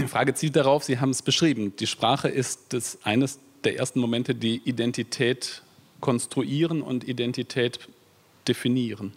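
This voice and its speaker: A German man moderates an evening panel discussion, introducing the guest formally. His phrasing is measured, with the odd filler, and his voice sits at a middle pitch for a man. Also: fairly even intonation. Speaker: journalist, moderator.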